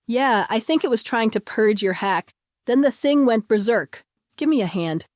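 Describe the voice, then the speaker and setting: A woman's voice: on the high side, expressive, normal speed, a little mellow, no echo, clean studio sound, natural voice. A woman voicing a gritty, fed-up female farmer in a story, with a blunt, terse way of talking. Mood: shaken, blunt. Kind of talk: audiobook character voice.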